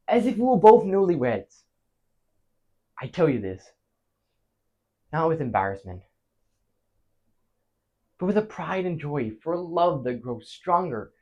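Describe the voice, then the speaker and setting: A male actor playing a flustered married man in a theatrical comic monologue, stammering and pausing dramatically as he recounts a disastrous night. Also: on the high side, a little mellow, dull audio. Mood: fond, wistful. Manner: stammering, dramatic pauses, big emotional swings.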